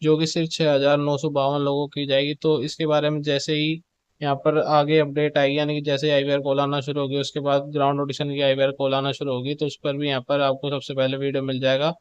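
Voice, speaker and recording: Flat-toned man explaining updates, higher-pitched voice. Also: brisk, smooth, no room sound, lo-fi sound. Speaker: video presenter giving show updates. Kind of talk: informal explainer narration.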